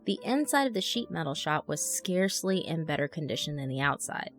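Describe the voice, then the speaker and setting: A woman narrating a horror story as a young female blogger in her twenties, conversational, wry and expressive, telling of being haunted. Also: deep voice, a little fast. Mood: descriptive, wary. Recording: music under the voice. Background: background music.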